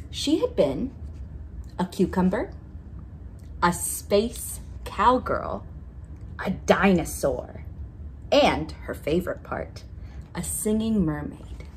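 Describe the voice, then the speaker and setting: A woman's voice: fairly low pitch, rough recording. A woman reading a children's picture book aloud expressively, drawing out key words for emphasis. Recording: noticeable background noise.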